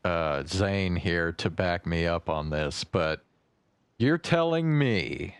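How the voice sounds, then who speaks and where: A man streaming a video game, giving casual commentary in a halting, slangy way on a close mic. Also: low-pitched, expressive, smooth, no room sound.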